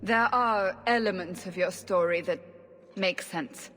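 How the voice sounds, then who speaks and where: A woman's voice: fairly low pitch, measured pace, fairly bright tone, long reverb tail. A woman playing a stern, suspicious female interrogator in a video game, terse and sceptical.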